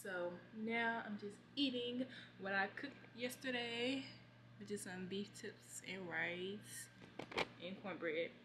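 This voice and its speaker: A woman's voice: medium pitch, takes it slowly, background noise. A young woman in her twenties vlogging from her apartment, chatting casually to her phone camera with easygoing slang. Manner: relaxed, chatty, talks straight to camera with slang. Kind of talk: casual vlog chat. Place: small apartment kitchen indoors.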